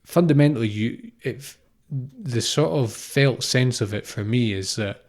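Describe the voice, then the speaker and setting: A man's voice: mid-range voice, a little slow, darkish tone. A man in his thirties from Scotland gives an unscripted, informal spiritual talk, explaining reflectively and often repeating phrases or posing questions. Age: 30s. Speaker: spiritual teacher, podcast host.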